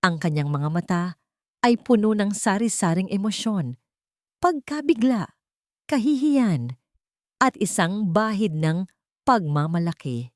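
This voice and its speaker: A woman's voice: medium pitch, animated, measured pace, pauses often, darkish tone, no echo, studio quality. A woman reading a scripted dramatic story as a storyteller.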